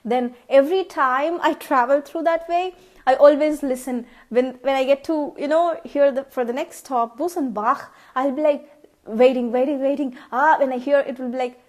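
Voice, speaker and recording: A young Indian woman with a high, bright voice, chatting casually through a vlog. She speaks English with an Indian accent, since her first language is Tamil. She repeats herself often and pauses to sound out words to show how they should be said. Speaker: vlogger.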